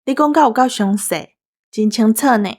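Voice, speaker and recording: Female podcast co-host, Taiwanese accent, high voice, conversational delivery. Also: moderate pace, a little mellow.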